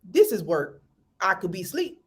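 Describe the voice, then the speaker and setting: A woman's voice: medium pitch, moderate pace. A woman co-hosting a podcast, her voice swinging up and down as she teases. She talks bluntly and playfully, pressing her guests with cheeky questions. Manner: teasing, blunt, playful, lively. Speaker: podcast co-host. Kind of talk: casual chat.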